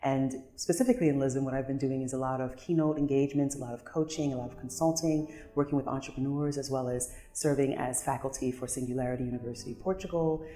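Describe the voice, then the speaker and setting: Woman, super deep mellow voice, confident and polished, echoey room. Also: fairly even intonation, quick pace, hardly any pauses. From Nigeria, raised in New York. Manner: confident, articulate. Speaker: strategist and coach. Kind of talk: polished self-introduction.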